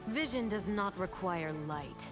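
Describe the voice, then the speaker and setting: A woman's voice: medium pitch, lively intonation, measured pace, lightly acted. A woman playing a bitter, brooding fallen celestial of darkness in a video game, speaking with dry scorn and an ominous manner. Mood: dark menace. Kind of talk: video game character voice lines. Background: music.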